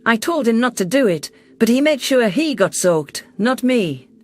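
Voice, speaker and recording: A man narrating a science fiction story in the first person with steady storytelling delivery. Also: extremely high pitch, moderate pace.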